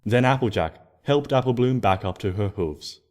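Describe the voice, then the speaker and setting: A man narrating a scripted story expressively, in a gentle storybook manner. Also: lowish voice, lively intonation, moderate pace. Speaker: fan fiction narrator.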